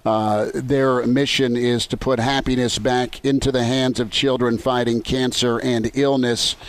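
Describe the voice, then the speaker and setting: American male sports radio host, conversational delivery, medium pitch, on location. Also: flat delivery, unhurried. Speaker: sports talk show host. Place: on location at a golf tournament.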